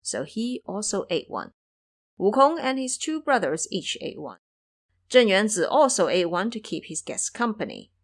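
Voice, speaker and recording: Female narrator for audio drama, measured storytelling delivery, very varied intonation. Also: medium pitch, very animated.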